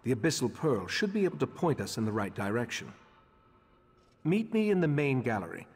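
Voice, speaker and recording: A man playing a grave, scholarly leader of a mage order in a video game, speaking in a measured, formal way. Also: mid-range voice, sing-song, slightly rough. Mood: grave, pondering. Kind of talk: scripted video game character dialogue. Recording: long echoing hall reverb.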